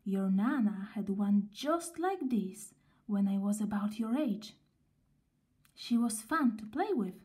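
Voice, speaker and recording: Lady playing a no-nonsense mum in a kids story, soft mellow voice. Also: mid-range voice, animated, slow. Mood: fond, explaining. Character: brisk, practical young mother of a little girl.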